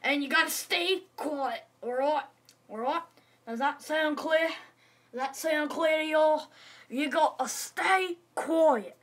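Someone doing a grouchy bossy principal, high voice, talks slow, repeats orders, pretend play. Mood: stern, bossy.

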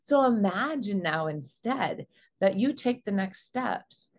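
A woman in her forties speaking as a relationship coach, explaining things to camera in an earnest, measured way. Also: medium pitch, lively intonation. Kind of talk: explanatory advice talk to camera. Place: small indoor room.

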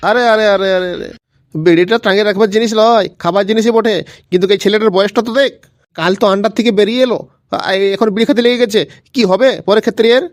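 A man's voice: high voice, fairly even intonation, fast, no room sound, clean studio sound, close to an everyday voice. A man voicing an angry, foul-mouthed old grandfather in a comedy cartoon, scolding and repeating himself. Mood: exasperated scolding. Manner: scolding, repetitive, ranting.

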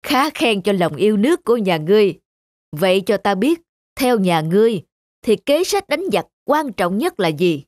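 A man playing an imposing middle-aged general in an animated folk tale, speaking in a formal, commanding, hearty manner. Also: sits high, moderate pace, no echo, clean studio sound. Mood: approving, resolute.

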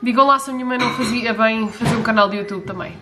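A woman's voice: a little high, fast. A young woman in her twenties with a European Portuguese accent, chatting casually while filming a cooking vlog at home. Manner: chatty, asks her mother questions. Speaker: vlogger. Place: home kitchen, indoors. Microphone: handheld camera close up. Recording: home kitchen with audible background noise.

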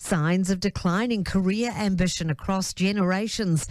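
A woman's voice: medium pitch, normal speed, a little mellow, no room sound. New Zealand woman reading radio news, dry studio. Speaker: newsreader. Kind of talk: scripted radio news bulletin.

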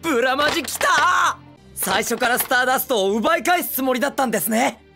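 A man voicing a brash, excitable teenage boy hero in an anime film, with cocky, eager, boyish speech. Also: extremely high pitch, fairly quick, vocal fry, soft background music, heavily acted. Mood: fired up. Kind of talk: Japanese anime film acting.

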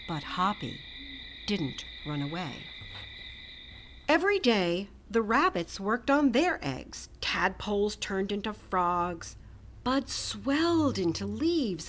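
A middle-aged woman reading a children's picture book aloud with gentle, expressive storytelling and soft whispered asides. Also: medium pitch, a little hiss or hum, rough recording. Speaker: storytime reader. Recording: music playing under the voice.

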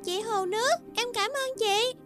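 Woman voicing a very high-pitched little flower girl. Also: measured pace, fairly bright tone, soft background music. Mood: grateful. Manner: polite, sweet, exclamatory. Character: small, kind, earnest young morning glory flower girl. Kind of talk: children's cartoon fairy tale dubbing.

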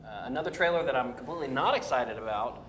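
A man's voice: medium pitch, animated, fast, noisy background. A middle-aged American man co-hosting a casual geek chat show, often hesitating and repeating himself. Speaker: co-host of a geek talk show. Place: indoor room at a table. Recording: roomy echo, chattering background.

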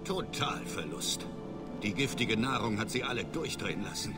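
An actor playing a disgraced middle-aged starship captain and father, evasive and self-justifying, speaking in a formal, measured way in a video game. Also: lowish voice, animated, close to an everyday voice. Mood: weary. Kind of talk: video game voice acting, German dub. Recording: loud music under the voice.

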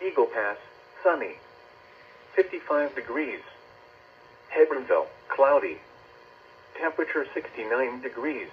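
A synthesized man's voice reading a scripted weather bulletin in a stilted, formal way, played from a weather radio indoors. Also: medium pitch. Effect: synthetic voice over weather radio. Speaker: automated weather radio announcer. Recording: dull radio speaker, clear noise.

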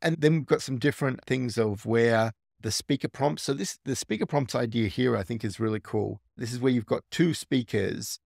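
A man's voice: medium pitch, normal speed, vocal fry, hardly any pauses, a little mellow, dry recording, studio quality. A man hosting a tech tutorial, casually walking through a screen recording and thinking aloud with small restarts.

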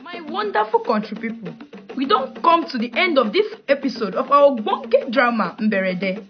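A woman with a Nigerian accent presenting a scripted radio drama outro in an animated style. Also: high-pitched, normal speed, dull audio, loud music behind the voice.

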